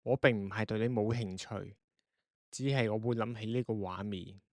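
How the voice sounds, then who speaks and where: A man's voice: fairly low pitch, fairly even intonation, normal speed, no room sound, studio quality, close to an everyday voice. A man playing an earnest, guilty, evasive husband in a radio drama. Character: guilty, evasive husband confessing his secrets. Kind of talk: Cantonese radio drama acting.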